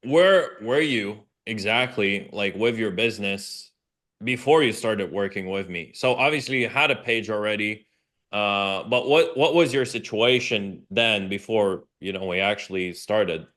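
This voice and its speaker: A man's voice: fairly low pitch, measured pace, a bit bright. Upbeat male coach hosting. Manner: upbeat, hype-style promo delivery then chatty questions. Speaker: host, business coach. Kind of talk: scripted intro then casual interview.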